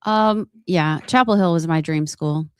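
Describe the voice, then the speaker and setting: A woman's voice: lowish voice, unhurried, darkish tone, clean tone, no echo, clean studio sound. A woman co-hosting a casual talk show who talks in a blunt, joking, offhand way. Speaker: co-host. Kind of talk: casual chat.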